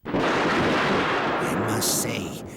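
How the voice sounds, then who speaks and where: An actor playing an eerie, courteous old hotel keeper in a video game, with unctuous politeness and slyly menacing teasing. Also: male voice, fairly low pitch, extremely slow, vocal fry, very noisy, full character voice. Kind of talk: video game cutscene acting.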